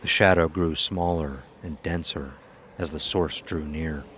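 A man's voice: rumbling low, fairly even intonation, unhurried, fairly bright tone, slight background noise. A man reading a scripted horror story as its narrator. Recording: music behind the voice. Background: music.

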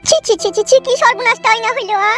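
A man's voice: very high voice, fairly even intonation, quick pace, music in the background. A man voicing a bitter, dramatic old mother-in-law in a comedy cartoon, wailing and cursing in a rural West Bengal accent. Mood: wailing, scolding.

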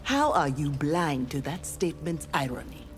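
Proud young nigerian ninja woman, kinda low voice, firm and defiant, video game. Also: expressive, normal speed, soft background music. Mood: righteous. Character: proud, righteous young female armored ninja warrior. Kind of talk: video game fight dialogue.

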